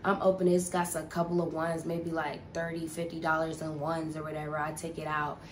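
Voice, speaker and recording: A woman's voice: low-pitched, little pitch movement, a little fast, a little hiss or hum. A young woman telling a casual storytime, rambling and profane with lots of filler words. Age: young adult. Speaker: vlogger.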